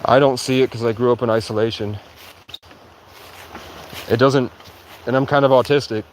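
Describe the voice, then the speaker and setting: A sleep-deprived man livestreaming outdoors in a rural area, rambling in a halting way with many restarts. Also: medium pitch, steady tone, a little hiss or hum, low-quality recording. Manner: halting, restarts often, heavy use of "like". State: sleep-deprived after hours of talking. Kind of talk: rambling casual monologue.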